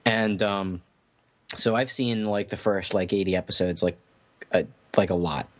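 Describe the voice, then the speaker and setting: Low-voiced man saying "like" a lot. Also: a little slow. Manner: relaxed, fills with "like" often. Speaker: podcast co-host. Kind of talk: casual chat.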